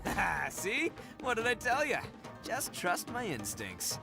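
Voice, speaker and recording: Swaggering, animated young gang boss. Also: male voice, high voice, slow, creaky, music in the background, exaggerated voice. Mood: cocky. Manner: brash, swaggering, colloquial. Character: boisterous, cocky, good-hearted young male gang boss. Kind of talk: video game voice acting.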